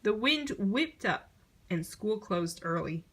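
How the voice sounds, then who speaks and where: A woman reading a children's picture book aloud in an expressive storytelling manner. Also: medium pitch, slow, slightly rough. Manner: expressive storytelling, acting out short exclamations.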